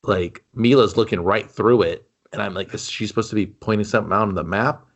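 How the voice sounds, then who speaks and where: A man's voice: on the low side, a little fast, lo-fi sound. A man co-hosting a movie review podcast, ranting in casual, profane banter. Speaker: podcast co-host.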